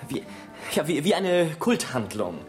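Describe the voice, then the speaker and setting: An actor in a German radio play playing a shy, infatuated young Russian lieutenant, hesitant and earnest. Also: male voice, fairly high pitch, sing-song, a little slow. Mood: flustered, smitten. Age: young adult. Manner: hesitant, earnest, tongue-tied. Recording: studio radio play with music behind.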